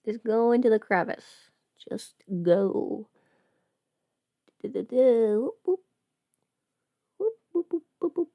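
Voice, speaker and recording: A woman's voice: a little high, very animated, takes it slowly, long pauses, no room sound, highs cut off. A woman casually chatting on a livestream while doing her makeup, playful and absent-minded, muttering to herself in a close-miked voice. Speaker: livestream host, voice performer.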